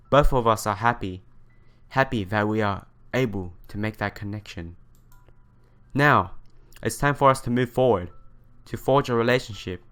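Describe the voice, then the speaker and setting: A man's voice: mid-range voice, fairly bright tone. A young man playing a visual novel for viewers, reading aloud and commenting in an informal, earnest way. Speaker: let's player. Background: game music.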